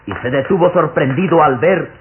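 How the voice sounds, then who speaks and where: A man's voice: on the high side, normal speed, noisy background. A man giving dramatic scripted narration for a radio serial. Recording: tinny old radio recording, music behind.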